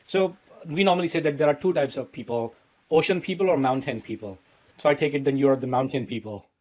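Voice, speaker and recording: This is a man hosting a podcast interview. He asks his questions in a friendly, chatty way. His voice sits at an ordinary middle pitch. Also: a little fast, darkish tone. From India. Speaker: podcast host.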